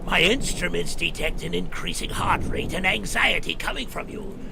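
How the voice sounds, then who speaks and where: Creaky, sinister museum doctor. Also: male voice, high voice, normal speed, background noise. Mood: sly, unctuous. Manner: theatrical, mock-polite, insinuating. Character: eccentric, theatrical, sinister host doctor of a weird museum. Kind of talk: 1940s radio horror acting.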